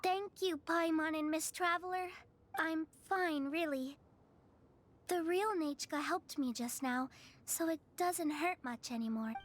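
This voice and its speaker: A woman's voice: high voice, unhurried. A woman voicing a frail, timid young girl in a video game, with a small childlike voice and a hesitant, polite manner. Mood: grateful. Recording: game music underneath.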